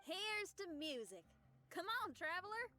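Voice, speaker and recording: A woman voicing a tiny, childlike, excitable fairy companion in a cartoonish video game, with a squeaky voice. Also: unhurried, faint music behind, heavily acted. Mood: eager.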